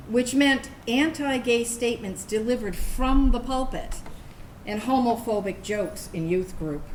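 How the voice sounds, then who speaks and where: A woman's voice: mid-range voice, lightly acted. Earnest woman acting a veteran in echoey room. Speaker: actor reading a veteran's personal narrative. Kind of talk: staged reading of a personal monologue. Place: indoor room, staged reading. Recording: echoey room, steady background noise.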